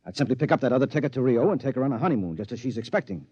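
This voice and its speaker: An actor playing a take-charge suburban husband in a 1950s radio drama, emphatic, wry and sentimental. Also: male voice, mid-range voice, expressive, rapid-fire, a little mellow, breathy edge, no echo. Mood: amazed, brisk. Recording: dull dry vintage radio recording.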